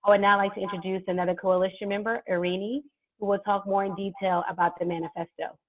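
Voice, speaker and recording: A woman's voice: medium pitch, flat delivery, fast. A woman moderating an online event who reads polite, scripted introductions between speakers. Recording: tinny phone-like audio.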